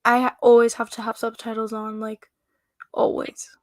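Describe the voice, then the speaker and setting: A young woman in her twenties, Spanish her first language, talks in a loose, rambling way on a livestream. Her voice sits a little high. It is recorded close and dry. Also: monotone, moderate pace, dry recording. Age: 20s. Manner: rambling, heavy use of "like". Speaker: guest. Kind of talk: casual chat on a livestream.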